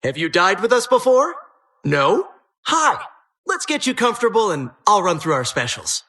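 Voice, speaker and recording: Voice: medium pitch, very animated, normal speed, bright, forceful tone. A performer voicing a sardonic, menacing dungeon host in a video game, with dramatic mock-cheerful patter. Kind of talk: video game character voice lines.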